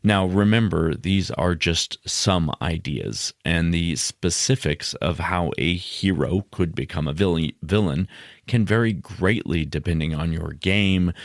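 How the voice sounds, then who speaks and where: A man hosting a podcast, giving scripted explanations in a measured, instructional way. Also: deep voice, no room sound, clean studio sound. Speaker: podcast host.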